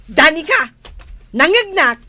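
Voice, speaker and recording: A woman's voice: high voice, sing-song, slow pace, bright, forceful tone, heavily acted. A woman with an Ilocano accent playing a squawking, combative village woman in a comedy radio drama. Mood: indignant. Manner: squawking, exclaiming. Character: shrill, combative village woman brawling with friend. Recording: clearly noisy background.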